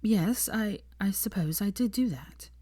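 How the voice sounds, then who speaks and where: A woman's voice: mid-range voice, animated, a little slow, mellow, breathy edge, no echo, clean studio sound, pitched above the performer's own voice. A woman voicing an earnest, self-conscious young woman with a careful, slightly stiff manner in a story reading. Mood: embarrassed.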